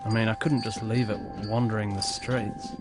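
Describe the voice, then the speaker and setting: A man playing a low-key young storyteller who narrates an animated short in an understated, matter-of-fact way. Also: normal speed, natural voice. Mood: wry, resigned. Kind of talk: scripted first-person narration of animated short film. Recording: music or noisy ambience behind narration.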